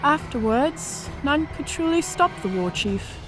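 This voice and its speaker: A battle-scarred orc woman with a high, lively voice that rises and dips as she spins war tales. She speaks with theatrical, measured weight in a fantasy audio drama, over faint music. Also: poor audio. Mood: grave storytelling. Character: battle-scarred female orc veteran officer, worldly storyteller. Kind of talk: audio drama character acting.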